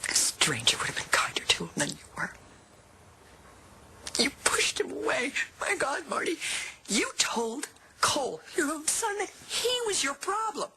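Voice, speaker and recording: A woman's voice: sits high, wildly swooping pitch, measured pace, gravelly, sharp tone, in a whisper, heavily acted. An actress playing a middle-aged, wounded and unforgiving mother in a television drama, with an accusing, emphatic delivery. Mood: accusing, bitter. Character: wounded, unforgiving mother confronting her best friend.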